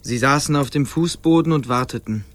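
A man's voice: medium pitch, a little fast. A man narrating a radio play as a scripted storyteller.

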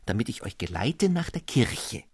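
A man's voice: medium pitch, sing-song, normal speed, slightly rough, no echo, studio quality. A man voicing a reclusive older astronomer father with a stiff, old-fashioned way of speaking. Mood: curt.

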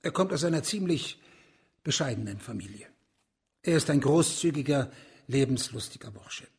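A man playing a deferential high official in a radio crime drama, speaking careful, courtly with a gravelly voice. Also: lively intonation, moderate pace, exaggerated voice. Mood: deferential, explanatory. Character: deferential high official, a provincial governor reporting upward.

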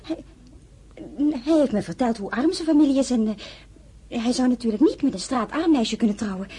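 An actress playing a gentle older sister in love, earnest and defensive. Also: female voice, a little high, lively intonation, fairly quick, slightly rough.

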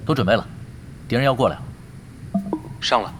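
A man's voice: medium pitch, brisk, lightly acted. A man playing a laid-back, teasing veteran gamer who leads his team in an audio drama. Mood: wry, casual. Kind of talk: Chinese audio drama acting. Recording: loud music bed behind the voice.

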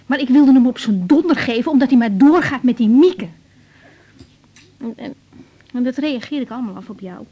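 A young woman doctor in a radio play, with a mellow, slightly husky and fairly high voice. She is blunt and volatile, coarse-mouthed, and stumbles over her words when she opens up. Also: lively intonation, moderate pace, long pauses, lo-fi sound. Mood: confiding, earnest. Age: young adult. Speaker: radio drama actress playing a doctor. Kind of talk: naturalistic 1980s Dutch radio drama dialogue.

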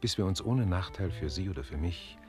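An actor playing an earnest, tender-hearted French marquis in a German radio play, husky and courtly. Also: male voice, sits low, animated, fairly quick, a little mellow, faint music behind. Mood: resolved, warm. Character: lovesick French marquis, tender, despairing and forgiving nobleman.

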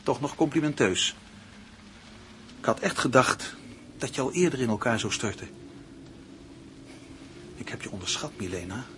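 A man's voice: medium pitch, lively intonation, a bit bright, natural voice. Guy playing a creepy calculating husband type in an old radio play, taunting, very up and down, bit of noise. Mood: smug, calculating. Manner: theatrical, taunting, deliberate, mock-sweet. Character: cold, calculating man gloatingly tormenting his partner. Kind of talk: Dutch radio play acting.

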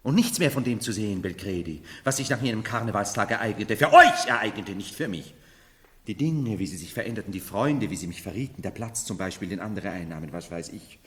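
A man's voice: fairly low pitch, lively intonation, brisk, a bit bright, long reverb tail. An actor in a 1970s radio play as a volatile man long thought mad who plays an emperor, commanding and theatrical. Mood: brooding, bitter. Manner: theatrical, commanding, swinging from brooding to outbursts. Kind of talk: 1970s German-language radio play acting.

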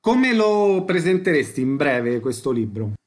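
A male radio interviewer with a fairly high-pitched voice. He delivers prepared questions and summaries in a formal interview style. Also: very animated, a little slow.

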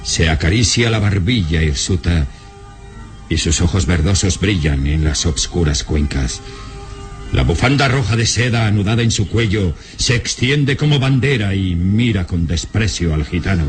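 Male narrator for a radio serial: low pitch, solemn dramatic delivery, clear music underneath. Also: expressive, moderate pace. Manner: solemn, ominous storytelling.

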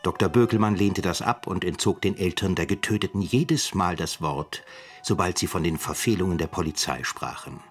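An actor playing a sober male writer who narrates a radio play in a measured, grave, factual manner. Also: low voice, soft background music. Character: sober male writer chronicling a murder trial.

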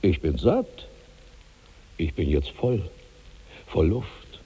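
A man's voice: deep voice, wildly swooping pitch, extremely slow, warm tone, breathy edge, exaggerated voice. An actor in a 1960s German radio drama playing a huge, heavy old man tormented by bottomless hunger, in declamatory, incantatory repetition. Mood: heavy, sated. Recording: long hall reverb on old radio recording.

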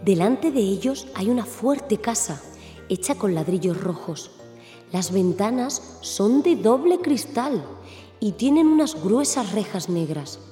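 A woman's voice: mid-range voice, big pitch swings, slow pace, warm tone, soft background music. A woman narrating a scripted children's story, recorded with an echoey, reverberant sound.

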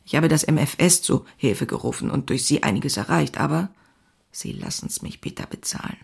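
Casting: restless young woman writer in an audio drama diary, husky with gravelly fry, intimate and confessional. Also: rumbling low, expressive, normal speed, heavily acted. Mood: agitated, bitter. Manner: intimate, confessional, often dropping into breathy near-whispers.

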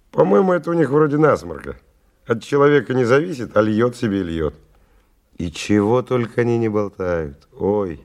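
Low-voiced, tough, cynical stalker narrator. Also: male voice, a little mellow. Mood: mocking, animated. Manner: colloquial, sardonic storytelling with dramatic swings. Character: tough, cynical male stalker narrating his dangerous expedition. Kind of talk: 1990 radio drama first-person monologue. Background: music.

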